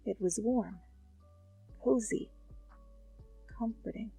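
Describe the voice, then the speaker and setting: Young woman reading fanfic ASMR, casual and chatty with swearing asides, slow-paced, dry close mic. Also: a little high, creaky, breathy edge, no echo. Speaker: fanfic reader. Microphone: close studio mic. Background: soft music.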